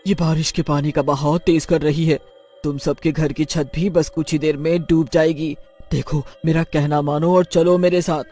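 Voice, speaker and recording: A man's voice: fairly high pitch, flat delivery, moderate pace, warm tone, faint music behind, natural voice. A man voicing an earnest, well-meaning female witch in a cartoon, pleading emphatically in dramatic dialogue. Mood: worried, urgent.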